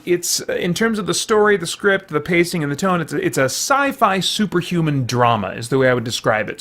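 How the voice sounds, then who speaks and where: Higher-voiced man giving a casual review. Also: a bit bright. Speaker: host, movie reviewer. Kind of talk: casual unscripted movie review.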